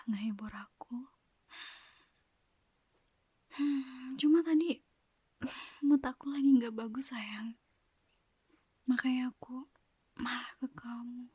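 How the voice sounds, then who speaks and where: Woman, soft coaxing whisper, slow, dry close mic. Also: fairly high pitch, slightly rough, dry recording. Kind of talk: whispered ASMR girlfriend roleplay.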